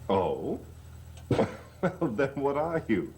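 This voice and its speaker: An actor playing the knowing, gracious master of the house, smooth-spoken, in 1960s TV soap style. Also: male voice, mid-range voice, big pitch swings, very slow, darkish tone, slight background noise. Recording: old TV soundtrack with music.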